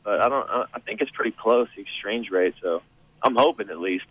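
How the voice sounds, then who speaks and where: A man's voice: fairly low pitch, a little fast, breathy edge, dry recording, narrow, tinny audio. A man co-hosting a radio show, teasing and sarcastic in casual banter.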